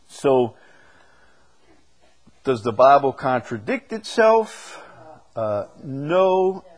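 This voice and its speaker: A male preacher teaches a Bible class in a conversational but emphatic way. His voice sits at a middle pitch and moves from loud declaration down to quiet, measured asides. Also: sing-song. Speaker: preacher leading a Bible study. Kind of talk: teaching with questions from the congregation.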